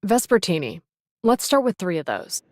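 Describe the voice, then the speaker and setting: An actress playing a proud, principled young fleet officer in an anime game, composed, frank and firm. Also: female voice, medium pitch, big pitch swings, moderate pace, no echo, clean studio sound. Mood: brief, cool. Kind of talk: English anime game voice acting.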